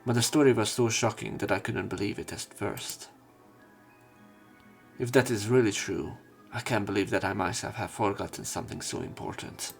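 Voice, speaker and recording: A man reading visual novel lines aloud as let's play narration, halting at times and correcting himself. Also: lowish voice, creaky. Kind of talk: read-aloud narration and commentary of game dialogue. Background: game music.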